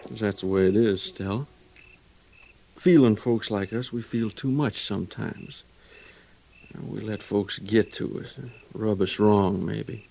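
An American actor playing a worried, tender young cavalry trooper husband in an old-time radio drama, with a folksy rural way of talking. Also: male voice, on the low side, fairly even intonation, a little slow, vocal fry, slight background noise. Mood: wistful, tender. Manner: folksy rural drawl, gentle and earnest.

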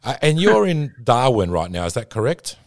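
A man's voice: medium pitch, very animated, normal speed, gravelly. A man hosting a podcast interview, chatty and fond of asking leading questions. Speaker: podcast host.

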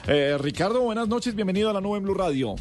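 A man's voice: high voice, lively intonation, fast talker, darkish tone, soft background music. A man hosting a casual radio interview, talking with many fillers and restarts. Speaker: radio host.

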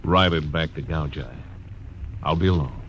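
Deep-voiced hard-boiled marshal narrator. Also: male voice, normal speed, a bit bright, a little hiss or hum. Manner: terse, dry, hard-boiled. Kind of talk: 1940s radio acting, hard-boiled narration. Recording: dull old radio broadcast over music.

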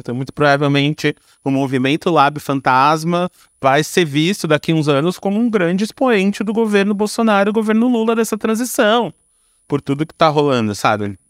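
A man's voice: fairly high pitch, no room sound, clean studio sound. A man in his forties with a Brazilian accent, talking casually and reflectively as an interview guest, using slang and everyday examples. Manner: informal, slangy, explains through examples. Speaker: podcast guest, storyteller and creator.

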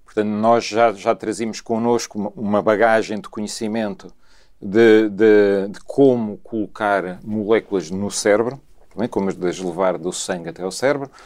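A man with a European Portuguese accent, a scientist explaining his research in a measured way with frequent repetitions. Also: lowish voice, steady tone, darkish tone. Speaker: scientist guest.

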